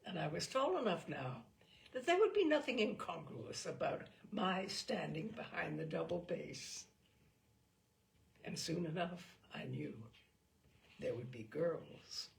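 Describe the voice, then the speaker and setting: A woman reading a literary story aloud as an expressive storyteller. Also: lowish voice, takes it slowly, gravelly, pauses often.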